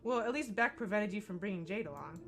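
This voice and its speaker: Woman streamer with a gentle Texan accent. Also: mid-range voice, lively intonation, quick pace. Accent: mostly American with a gentle Texan accent. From Texas, US. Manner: profane, joking, laughs often. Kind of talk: reading a visual novel aloud on stream. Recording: game music behind.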